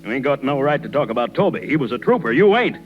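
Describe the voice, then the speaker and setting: An actor playing a gruff circus boss who talks in blunt, scolding slang in 1930s radio drama style. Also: male voice, medium pitch, very animated, fast, lightly acted. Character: gruff, hard-nosed male circus boss. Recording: dull 1930s radio recording, music behind.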